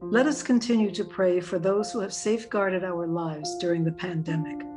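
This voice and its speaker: An older woman calmly reading intercessory prayers in a measured way. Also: on the low side, over music. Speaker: prayer leader.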